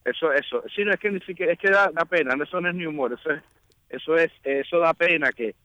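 A man's voice: on the high side, quick pace, bright, forceful tone, dry recording, highs cut off. A man talking as a magazine editor guest in a casual radio interview, chatty and often restarting his sentences.

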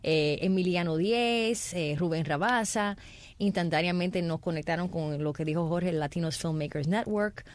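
A woman's voice: fairly low pitch, moderate pace. A woman, an actress speaking earnestly as an interview guest. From Cuba. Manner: earnest, enthusiastic.

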